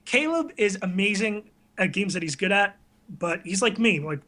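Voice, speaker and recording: A man's voice: high-pitched, animated, moderate pace, pushed and bright. A man chatting casually as an interview guest, talking in a self-deprecating way about himself as an online video creator. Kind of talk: casual chat.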